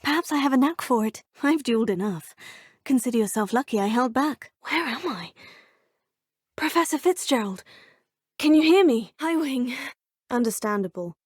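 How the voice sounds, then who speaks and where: A woman's voice: on the high side, animated, normal speed, long pauses, dry recording, studio quality. A woman with a British accent voicing a curious, polite young witch student in a video game. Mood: earnest. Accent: British English. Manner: polite, proper, crisp phrasing. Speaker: player character. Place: recording studio.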